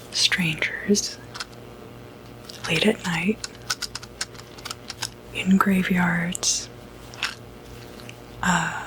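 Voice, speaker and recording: Slow whispering, soothing doctor played by a woman. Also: fairly low pitch, a bit bright, rough recording. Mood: gentle concern. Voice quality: breathy, unvoiced whisper. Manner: soothing, unhurried, hesitant phrasing. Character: gentle, reassuring doctor who treats demonic possession. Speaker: ASMR roleplay performer. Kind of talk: whispered ASMR medical roleplay.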